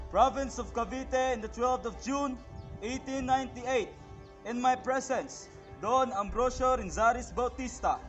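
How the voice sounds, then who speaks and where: Solemn government proclaimer, acted by a young man, very high declaiming voice, Filipino accent, music behind. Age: young adult. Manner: declamatory, formal. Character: solemn official proclaiming a nation's independence. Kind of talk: amateur historical dramatization, formal proclamation.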